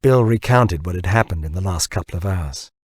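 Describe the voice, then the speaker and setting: A man's voice: low voice, lively intonation, a little fast, husky, no room sound, studio quality. A man narrating scripted fiction as an audiobook reader.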